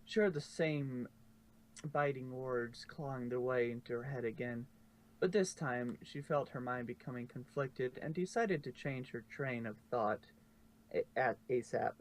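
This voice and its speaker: A man's voice: medium pitch, measured pace, poor audio. A man reading scripted story narration aloud as the narrator. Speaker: narrator of a fan fiction reading. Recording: faint background noise.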